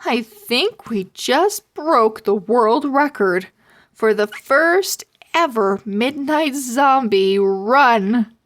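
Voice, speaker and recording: Woman voicing an excited, breathless young storybook boy. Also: a little high, extremely slow, voice pushed up. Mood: breathless excitement. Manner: breathless, exclaiming. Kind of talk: children's storybook read-aloud.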